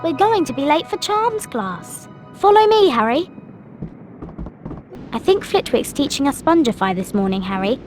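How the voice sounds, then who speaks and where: A woman's voice: high-pitched, big pitch swings, moderate pace, long pauses, darkish tone, faint music behind. An actress playing a bossy, bookish young schoolgirl witch in a video game, with an emphatic, lecturing way of talking. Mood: scolding, intrigued. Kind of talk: video game cutscene acting.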